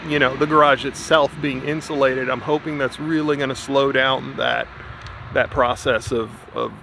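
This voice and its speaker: A man in his thirties talks to a handheld camera in his backyard garden, chatty and a little worried as he thinks aloud. His voice sits at an ordinary pitch with a gravelly edge. A faint outdoor hum with distant traffic runs underneath. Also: fairly bright tone. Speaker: home gardener vlogger. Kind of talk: casual garden vlog. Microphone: camera held at arm's length, outdoors.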